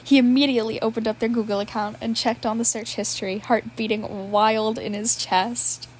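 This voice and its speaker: A woman's voice: a little high, steady tone, moderate pace, slight background noise, low-quality recording. A woman reading fan fiction aloud, breaking into laughs as she goes. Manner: laughs at the text while reading. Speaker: podfic reader.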